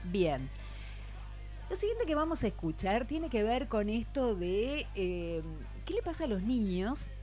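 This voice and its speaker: A woman's voice: a little high, very animated, a little slow, warm tone, faint music behind. Rioplatense woman hosting an educational radio segment. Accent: Rioplatense Spanish. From Buenos Aires. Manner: explanatory, with many fillers and restarts. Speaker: radio host and teacher. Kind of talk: radio talk.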